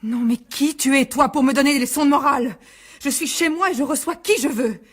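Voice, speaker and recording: An actress playing a defensive, exasperated middle-aged divorced mother in a French radio drama, argumentative, with a husky voice. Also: high voice, a little fast, close to an everyday voice. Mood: indignant.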